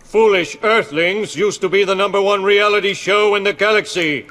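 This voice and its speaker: Conniving alien sidekick for cartoon game: high, piercing, declamatory, reverberant over music. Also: male voice, normal speed, fairly bright tone. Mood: dismayed. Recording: long reverb with music behind.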